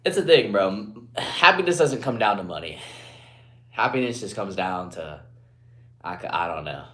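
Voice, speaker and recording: A young man from Guatemala on a casual live stream, reading comments aloud and answering in a laid-back way, often trailing off quietly, through a phone propped on a ledge. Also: on the low side, lively intonation, normal speed. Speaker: livestreamer.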